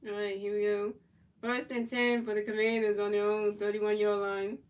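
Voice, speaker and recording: A woman's voice: medium pitch, distant mic. A woman streamer doing play-by-play commentary of a football video game and answering her chat in casual slang. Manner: announces plays in a measured, even delivery.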